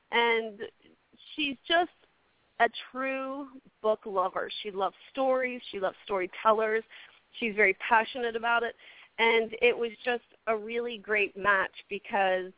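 A woman's voice: fairly high pitch, no room sound. A woman author in her thirties, talking as an interview guest in a chatty, rambling way with easy laughs. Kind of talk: casual interview chat. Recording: dry phone-line sound.

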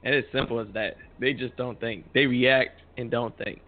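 A man's voice: mid-range voice, steady tone, normal speed. A man co-hosting a casual podcast, bantering in a laid-back way while losing his voice. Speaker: podcast co-host. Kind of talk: casual chat. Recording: tinny phone-like sound.

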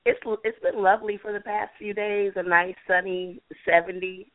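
A woman's voice: mid-range voice, fairly even intonation, moderate pace, dry recording. A woman from Texas, a business owner, answering interview questions hesitantly with fillers, a little stuffy from allergies. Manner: hesitant, soft-spoken with fillers and light laughs. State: mild allergies. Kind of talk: phone interview. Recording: tinny dry phone line.

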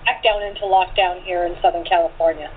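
A woman's voice: fairly low pitch, steady tone, brisk. Rambling woman on a noisy line. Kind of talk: casual group chat. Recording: noisy, phone-like remote call audio.

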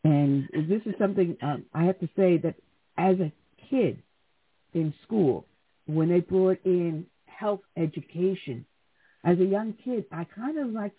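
Husky indignant man on talk radio. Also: sits high. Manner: indignant, opinionated, storytelling. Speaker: radio talk show co-host. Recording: tinny phone-like sound.

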